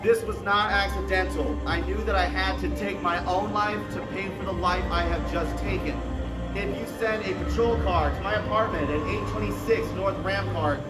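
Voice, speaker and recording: High-voiced male tour guide, distant and echoey. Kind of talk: theatrical storytelling to a tour group. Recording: echoey, distant, under loud music.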